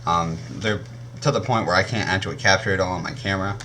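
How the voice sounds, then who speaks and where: A young man with a naturally deep voice, casually reviewing gear on his channel and often stopping to correct himself. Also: fast talker, sharp tone, a little hiss or hum. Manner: rambling, self-correcting, frequent um and uh.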